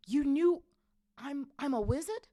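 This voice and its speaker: A woman's voice: medium pitch, very animated, measured pace, dark tone, no echo, clean studio sound, voice pushed up. A woman voicing an astonished, inquisitive young orphan boy in a storybook, asking urgent questions. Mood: stunned.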